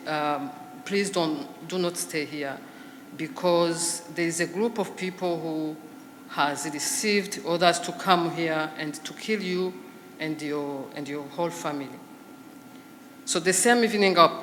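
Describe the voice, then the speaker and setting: Woman giving halting testimony in an echoey hall. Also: low-pitched, fairly bright tone. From Rwanda. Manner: halting, measured, with frequent pauses and fillers. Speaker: genocide survivor giving testimony. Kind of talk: personal testimony speech. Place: large commemoration hall. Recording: echoey hall with faint background noise.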